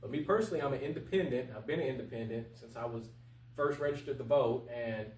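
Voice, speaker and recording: Fast-talking man, echoey and off-mic. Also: medium pitch, a little mellow. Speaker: guest, local political candidate. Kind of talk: informal interview answers. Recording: echoey, distant mic with faint noise.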